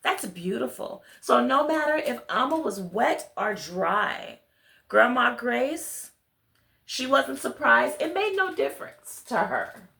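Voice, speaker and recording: A woman reading a children's picture book aloud, expressive, speaking directly to her young listeners. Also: on the high side, slow pace, vocal fry, reverberant. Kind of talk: children's read-along with friendly asides to listeners.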